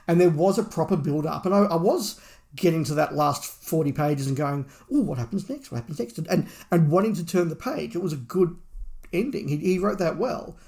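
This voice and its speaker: A man's voice: on the high side, creaky, darkish tone. A middle-aged man talking at length and with emphasis as a podcast co-host, in a casual review discussion.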